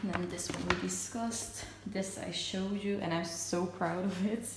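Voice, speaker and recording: Young female vlogger, gravelly and a little low, chatty, filmed on a phone in an echoey home room with noise behind. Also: steady tone, a little slow. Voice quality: slightly raspy from just waking up. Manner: chatty, self-narrating while wrapping gifts. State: hiccups. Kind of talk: casual vlog chat. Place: home kitchen and living room.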